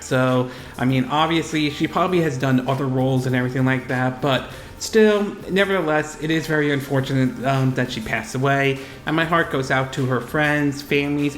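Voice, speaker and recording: Higher-voiced man with a cold, roomy sound. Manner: rambling, halting, self-correcting delivery with repeated verbal tags. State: fighting off a cold. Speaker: YouTube gaming news host. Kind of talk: unscripted gaming news commentary.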